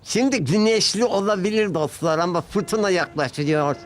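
A man's voice: high-pitched, moderate pace, a little hiss or hum, voice pushed up, close to an everyday voice. A man voicing a worried, sensitive but kindly talking dockside locomotive in a children's cartoon story, with an earnest seafaring manner. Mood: foreboding.

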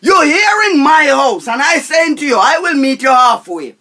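Blustering Jamaican head of the house played by a man, very high, bright voice, indignant, stingy; radio drama. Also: very animated, moderate pace, full character voice. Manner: emphatic, declamatory, repetitive scolding. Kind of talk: radio family comedy-drama acting.

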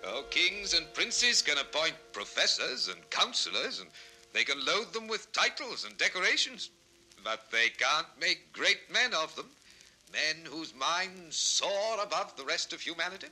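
A hard-of-hearing, tempestuous composer from a 1960s children's story record. The delivery is blustering and hugely animated. The tone is sharp and forceful, pitched on the high side. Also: male voice, measured pace, faint music behind, exaggerated voice, rough recording. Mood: scornful, proud. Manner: blustering, emphatic, theatrical.

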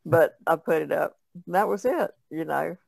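A woman's voice: low-pitched, moderate pace, vocal fry, darkish tone, husky, dry recording. An older American woman chatting casually on a podcast in a wry, plain-spoken way. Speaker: podcast panelist. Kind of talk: casual chat.

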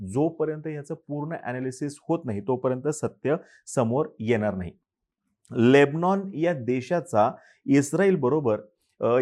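A man giving a scripted news explainer in a measured, explanatory way, asking rhetorical questions and answering them. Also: medium pitch, darkish tone.

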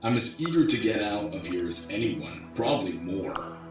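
An actor playing a weary, sarcastic, grumbling sewer maintenance worker in an audio drama. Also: male voice, on the low side, expressive, moderate pace, over music, close to an everyday voice. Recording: echoey tunnel, distant from the mic.

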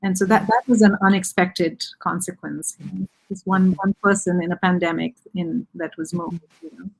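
A middle-aged woman from India, speaking reflectively as a guest author on a video call from a room with bookshelves, pausing to search for words. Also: lowish voice, steady tone, gravelly, darkish tone, slightly rough, rough recording. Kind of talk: casual video-call conversation.

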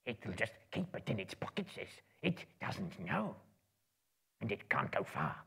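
A man's voice: lowish voice, huge pitch swings, unhurried, sharp tone, exaggerated voice. A man voicing a small, wretched, spiteful cave creature who hisses and mutters to himself in broken grammar, in a dramatic book reading. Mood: fretful, suspicious. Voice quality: raspy, hissing, creaky.

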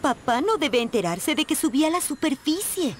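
Dreamy young game mermaid, high and animated, clear background noise. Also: female voice, normal speed. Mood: anxious. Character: dreamy, eager teenage mermaid princess. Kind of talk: animated video game voice acting.

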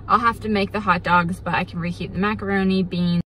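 A woman's voice: medium pitch, fairly quick, slight background noise. A young woman casually chatting about her day while filming herself on a handheld phone at home. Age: young adult. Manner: chatty, upbeat, relaxed. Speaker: vlogger. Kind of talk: casual day-in-the-life narration. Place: home interior and car. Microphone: phone camera, handheld selfie.

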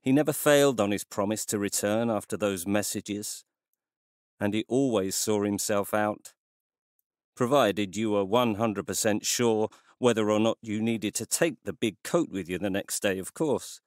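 A man narrating a literary story in a measured, scripted way. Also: fairly low pitch, a little mellow, dry recording, clean studio sound.

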